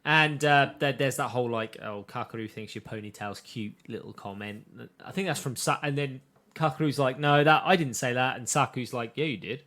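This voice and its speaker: Bright-voiced young man recapping a show. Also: mid-range voice, moderate pace. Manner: thoughtful, retells scenes with quoted lines. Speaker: podcast co-host. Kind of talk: casual chat recapping an anime.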